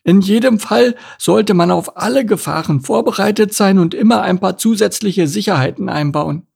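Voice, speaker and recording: A man's voice: high voice, normal speed, vocal fry, a little mellow, slightly rough, pitched above the performer's own voice, exaggerated voice. A man voicing a cautious, sympathetic female tortoise in a storybook, earnest and worried. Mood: alarmed, cautioning. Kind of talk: storybook character voice.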